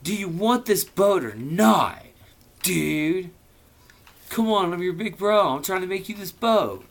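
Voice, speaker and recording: A man's voice: high voice, expressive, takes it slowly, vocal fry, voice pushed up, exaggerated voice. A man voicing a bossy, exasperated boy, an impatient big brother scolding in a storybook reading.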